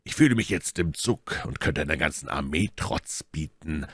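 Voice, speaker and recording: Guy playing a cool wry musketeer leader, really low voice, measured, audiobook, dry sound. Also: expressive, dry recording. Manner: measured, unhurried, authoritative. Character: calm, commanding, wry older male musketeer. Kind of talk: audiobook character dialogue.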